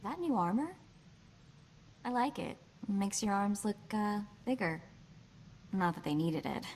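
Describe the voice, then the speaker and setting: An actress playing a sassy, quick-witted young woman hacker in a video game, with a chatty, wry delivery. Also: mid-range voice, expressive, a little mellow, a little hiss or hum. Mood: playful. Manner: wry, chatty, hesitant asides.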